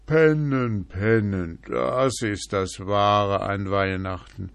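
A man's voice: lowish voice, slow, dry recording. A man voicing a lazy badger who praises sleeping in, in a storybook. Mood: lazy, loud. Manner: drowsy, hemming.

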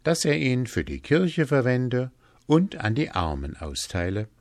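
A man voicing a calm, mysterious ghost in a fairy tale, speaking in a measured, solemn way. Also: medium pitch, no room sound, studio quality, pitched above the performer's own voice. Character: calm, mysterious male ghost hung with keys. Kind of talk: fairy-tale storybook character voice.

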